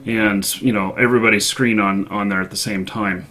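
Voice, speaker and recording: A man hosting a gaming livestream, chatting casually in a halting, hesitant way. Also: on the low side, steady tone, a bit bright. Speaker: livestream show host.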